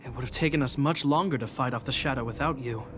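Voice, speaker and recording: An actor playing an earnest, dutiful young guard captain in a video game, composed and formal. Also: male voice, mid-range voice, fast, a bit bright, a little hiss or hum, close to an everyday voice. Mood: composed, relieved. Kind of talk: video game character dialogue. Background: game music.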